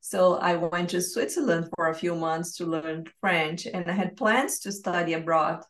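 A woman with a Brazilian Portuguese accent and a slightly low voice. She speaks as a podcast guest, telling her own story in a conversational way. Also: normal speed. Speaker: podcast guest, expat family coach. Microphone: remote call.

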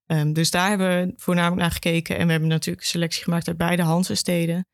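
Dutch woman chatting as podcast guest, thinks out loud, pretty steady pitch, dry sound. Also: fast talker, no room sound. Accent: Dutch (Netherlands). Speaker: education officer at a regional archive, interview guest. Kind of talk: conversational explaining in a podcast interview.

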